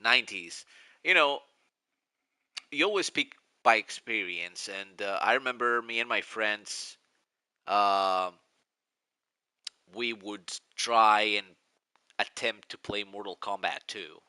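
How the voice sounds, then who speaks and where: A man's voice: on the low side, takes it slowly, pauses often, sharp tone, clean tone, no echo. A man in his forties with a Greek accent, rambling and nostalgic as he chats casually on a live stream. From Greece. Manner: rambling, nostalgic, restarts often.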